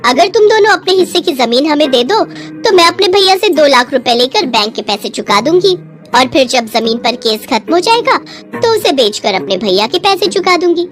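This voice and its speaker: A woman's voice: sits high, very animated, normal speed, a bit bright, faint music behind. A woman voicing a greedy, scheming sister-in-law in a moral story, pushy, scornful and complaining. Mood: coaxing. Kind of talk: Hindi moral story character acting.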